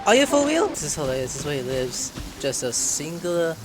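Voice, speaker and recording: Animated, lowish-voiced female gamer. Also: fairly quick, music in the background, rough recording. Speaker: gaming streamer. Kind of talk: casual live playthrough reactions.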